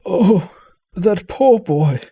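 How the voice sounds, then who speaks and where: A man playing an injured, frail doctor in an amateur radio play, speaking formally and haltingly. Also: high voice, expressive, mellow, full character voice. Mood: evasive, sorrowful. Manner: formal, halting, throat-clearing. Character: injured doctor, frail and apologetic, politely evasive. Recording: clearly noisy microphone feed.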